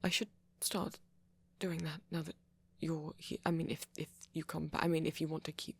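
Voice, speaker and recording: A man playing a shy, nervous young vampire in an ASMR roleplay, stammering softly and apologetically with a British accent. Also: on the high side, flat delivery, dry recording. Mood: shy, flustered. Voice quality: soft, breathy, often near whisper. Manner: stammering, halting, flustered and apologetic. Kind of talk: ASMR boyfriend roleplay, intimate acting.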